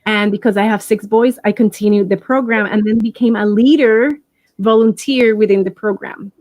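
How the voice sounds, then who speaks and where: A woman's voice: mid-range voice, steady tone, normal speed, no room sound, rough recording. Encouraging Puerto Rican woman, dry sound. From Puerto Rico. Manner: warm, encouraging, with many restarts. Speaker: interview guest. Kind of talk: casual interview.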